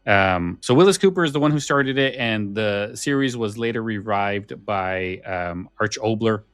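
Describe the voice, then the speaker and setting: A man co-hosting a podcast in a casual, informal and somewhat halting way. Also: on the low side, fairly bright tone, clean tone, no echo. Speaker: podcast co-host.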